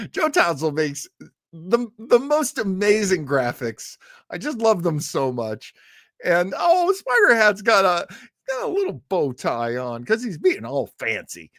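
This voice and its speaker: Middle-aged man, animated pitch, chatty livestream host, rambling and effusive, dry close sound. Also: takes it slowly, no room sound. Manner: rambling, effusive, self-deprecating. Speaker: host of an old-time radio show livestream. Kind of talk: casual, chatty livestream hosting.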